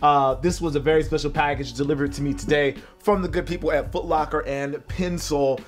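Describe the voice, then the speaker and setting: A man's voice: fairly high pitch, fairly even intonation, a little fast, soft background music. An upbeat man who has a bit of a cold, chatting casually straight to his viewers as he unboxes sneakers. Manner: upbeat, rambling, talks to viewers directly.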